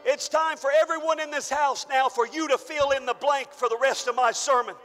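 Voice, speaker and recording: Male pastor, fervent shouting preacher, strained voice, bright edge, echoing church hall. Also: very high-pitched, fairly even intonation, a little fast, faint music behind. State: losing his voice. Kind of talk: sermon.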